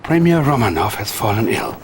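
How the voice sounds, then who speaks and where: An actor playing a sinister, calculating Soviet mastermind in a game cutscene, smug and measured. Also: male voice, medium pitch, very animated, a little hiss or hum. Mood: smug, scheming. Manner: smug, measured, coldly theatrical. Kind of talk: menacing video game cutscene monologue.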